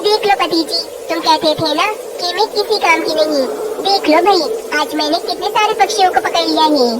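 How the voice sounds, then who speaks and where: A woman's voice: extremely high pitch, normal speed, very noisy. A woman voicing a scheming, greedy female eagle who gloats, in a children's cartoon. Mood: proud, excited. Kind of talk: Hindi children's cartoon dialogue.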